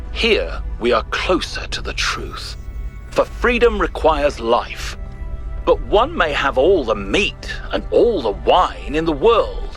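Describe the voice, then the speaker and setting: Measured philosopher with expressive oratorical delivery. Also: male voice, fairly high pitch, exaggerated voice. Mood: earnest, rhetorical. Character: thoughtful, principled ancient Greek philosopher, questioning and grave. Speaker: narrator of philosophical audio logs. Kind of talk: dramatic philosophical monologue. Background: music.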